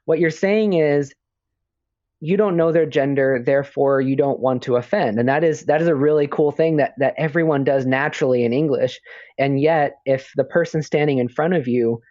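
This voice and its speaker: A man's voice: on the high side, moderate pace, a little mellow, no echo. A man co-hosting a podcast in casual chat, talking hesitantly with lots of fillers and restarts. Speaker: podcast co-host. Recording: dull lo-fi audio.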